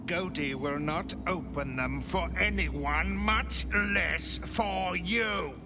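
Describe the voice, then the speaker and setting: A man playing a cruel, gloating undead servant in a video game, with a gravelly voice and a mocking, sing-song menace. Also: high-pitched, slow pace, noisy background. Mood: sly, obedient. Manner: mocking, sing-song menace, speaks of himself in third person. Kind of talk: video game character acting. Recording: music behind the voice. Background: music.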